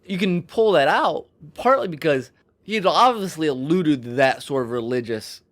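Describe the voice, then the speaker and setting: A man co-hosting a podcast, talking casually and haltingly as he thinks aloud, often interrupting himself. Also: a little high, expressive. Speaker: podcast co-host. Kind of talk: casual discussion of storytelling.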